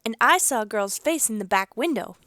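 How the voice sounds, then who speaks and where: A woman's voice: on the high side, expressive, a little fast, sharp tone, dry recording. A woman voicing a keen, playful young boy in a children's storybook. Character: excitable young boy, observant and hungry.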